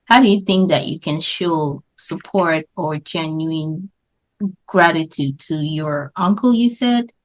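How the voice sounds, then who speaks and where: A woman's voice: deep voice, slow, poor audio. A woman co-hosting a podcast with a mid-range voice, asking questions and steering the conversation in a casual chat. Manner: questioning, leads the discussion. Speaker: podcast co-host.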